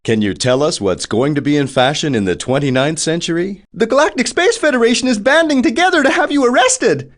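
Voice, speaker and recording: A man's voice: high-pitched, animated, fairly quick, few pauses, a bit bright. A man voicing an eager, showy TV reporter in a video game, putting questions to futuristic racers in a theatrical manner. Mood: nervous, stammering. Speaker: race interviewer. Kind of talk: video game voice acting, sports interview.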